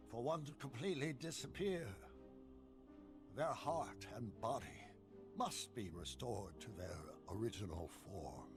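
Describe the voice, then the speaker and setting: An old male villain from a video game, solemn and grandiose. The voice creaks and moves very slowly, weighing each phrase with portent. Music plays clearly behind the speech. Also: medium pitch. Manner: slow, deliberate, portentous. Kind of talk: dramatic video game cutscene acting.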